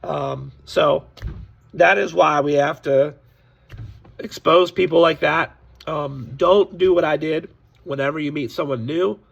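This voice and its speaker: A hoarse man with a slightly high, gravelly voice speaks casually and candidly to his viewers. His tone is raspy and worn, complaining about a bad deal while warning his audience. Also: measured pace, highs cut off. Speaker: sports card seller. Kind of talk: casual direct-to-camera vlog.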